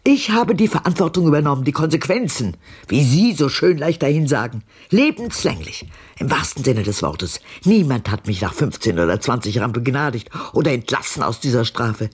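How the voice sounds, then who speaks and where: Very husky, haunted old woman. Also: sing-song, a little mellow, heavily acted. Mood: bitter, grave. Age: older. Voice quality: very husky, breathy, low for a woman. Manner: deliberate, pointed, dramatic, dropping into whispers. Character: bitter, haunted old woman guarding a dark past. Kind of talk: radio drama acting.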